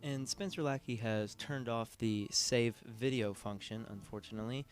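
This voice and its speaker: A man's voice: medium pitch, moderate pace, faint music behind. A man hosting a video commentary in a casual, conversational, informal way. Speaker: video essay host.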